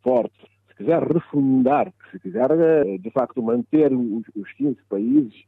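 A man's voice: medium pitch, animated, slow, vocal fry, breathy edge, no echo. A man giving political analysis as an interviewee over a phone-like line. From Cape Verde. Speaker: former presidential adviser interviewed. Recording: dry, narrow phone-like line.

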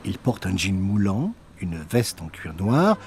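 A man's voice: deep voice, sing-song, slow, darkish tone, slight background noise. A man narrating a reportage from a prepared script. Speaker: documentary narrator.

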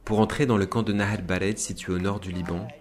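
Low-voiced male radio reporter. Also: steady tone, a little fast. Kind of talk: scripted documentary narration. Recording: faint ambient background noise.

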